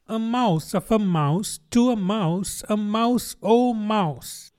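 A man's voice: high voice, slow pace, a little mellow, clean tone, voice pushed up. A man voicing a polite, curious young girl in a storybook, earnest and chatty. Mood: curious, hopeful. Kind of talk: storybook character voice.

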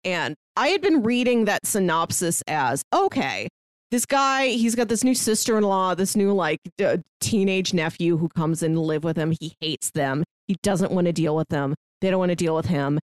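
A woman's voice: fairly low pitch, lively intonation, moderate pace, no room sound. A woman co-hosting a podcast, casually discussing film and retelling things by acting out imagined lines. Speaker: podcast co-host.

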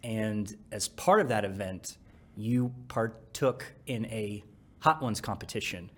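A middle-aged man hosting a podcast, asking questions in a relaxed, conversational way. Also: lowish voice, slow. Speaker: podcast host. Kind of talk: casual interview hosting.

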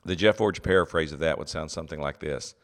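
A middle-aged man hosting a solo teaching podcast, his voice very deep and scratchy from a cold, speaking earnestly with many "uh" pauses. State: has a cold, congested. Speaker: podcast host, seminary president.

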